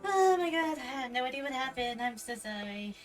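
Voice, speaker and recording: Halting woman streamer, distant mic. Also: sits high, animated, faint music behind. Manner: halting, filler-heavy, flustered. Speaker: game streamer. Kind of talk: casual live-stream chat.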